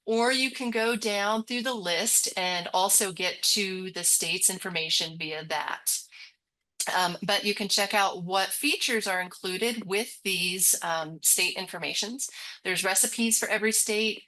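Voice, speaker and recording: Woman co-hosting a library webinar, bright voice, chatty and giggly, clicking through a site. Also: medium pitch, a little slow, low-quality recording. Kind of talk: casual screen-share demo chat.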